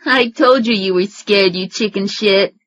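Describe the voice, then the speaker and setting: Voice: mid-range voice, measured pace, a bit bright, highs cut off, pitched above the performer's own voice, close to an everyday voice. A narrator voicing a cocky young boy who teases his friend over the phone with crude schoolyard insults. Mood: taunting.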